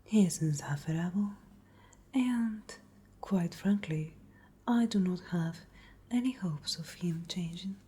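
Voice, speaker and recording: A woman playing a cruel, haughty vampire noblewoman in a whispered ASMR roleplay, breathy, deliberate and taunting. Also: lowish voice, warm tone, full character voice. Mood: haughty, contemptuous. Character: cruel, menacing noble lady vampire of a castle.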